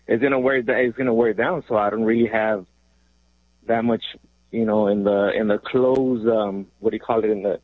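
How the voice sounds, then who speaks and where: A man's voice: on the low side, fairly even intonation, normal speed, no room sound. Rambling male caller on a phone line. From Mexico. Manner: rambling, hesitant, lots of ums, laughs easily. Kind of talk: casual call-in chat. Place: in a car. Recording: tinny phone line from a car.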